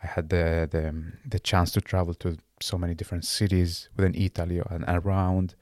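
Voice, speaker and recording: Deep-voiced Iraqi-born man, halting and earnest. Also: level tone, a little mellow, no echo, studio quality. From Iraq. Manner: halting, searching for words with many restarts. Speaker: guest. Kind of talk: casual interview conversation.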